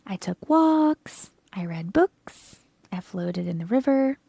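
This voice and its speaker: Woman reading as an earnest, slow-spoken good egg. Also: medium pitch, sing-song, vocal fry, warm tone, no room sound, exaggerated voice. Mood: reflective, calm. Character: earnest, dutiful male egg, eager to be good. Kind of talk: children's storybook first-person narration.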